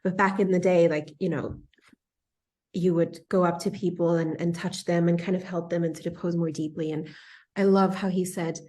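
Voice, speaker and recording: A woman in her thirties, a yoga teacher interviewing a friend, telling stories in a casual, animated way and often laughing. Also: lowish voice, moderate pace. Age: 30s. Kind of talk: casual conversational storytelling.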